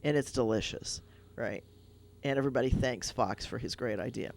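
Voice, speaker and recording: A man with a gravelly, creaky voice of middle pitch hosts a radio talk show as a rabbi. He tells a children's fable with lively, animated delivery and chats casually with his guests. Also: moderate pace, a little hiss or hum. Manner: animated storyteller, retelling a fable. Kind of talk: casual radio conversation and storytelling.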